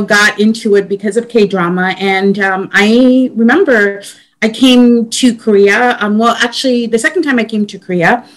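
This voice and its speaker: A woman talks as a guest in a relaxed interview. Her speech stops and starts, packed with ums and uhs, and her pitch sits right in the middle. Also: low-quality recording. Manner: halting, many ums and uhs. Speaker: guest, event co-founder. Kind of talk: casual interview talk. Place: lives in Korea.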